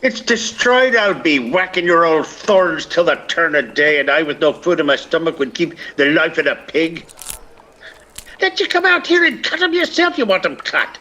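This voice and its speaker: A man's voice: a little high, expressive, normal speed, pushed and bright, low-quality recording. An actor playing a ragged, lazy older peasant man who grumbles and needles in a lilting, theatrical radio play style. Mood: whining protest.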